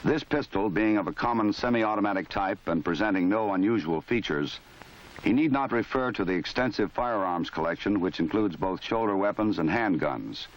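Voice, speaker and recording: A man with a fairly low voice delivers measured, matter-of-fact narration in a formal register. The sound is dull and lo-fi, like an old 1970s film soundtrack. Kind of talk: scripted documentary narration. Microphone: voice-over.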